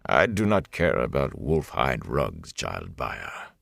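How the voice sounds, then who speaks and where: A man voicing a gray-haired lord captain in an audiobook, mild and measured, with quiet authority. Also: extremely low pitch, wildly swooping pitch, gravelly, no echo, studio quality, pitched below the performer's own voice, full character voice. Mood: gentle rebuke. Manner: mild, gentle, measured rebukes. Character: gray-haired lord captain, calm, mildly commanding older officer.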